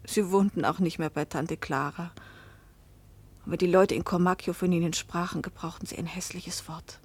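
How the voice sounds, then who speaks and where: A woman's voice: on the low side, fairly even intonation, moderate pace, a little mellow, husky. An actress playing a poor fishing-town woman who recounts her hard life in a restrained literary radio play narration. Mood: sorrowful, restrained.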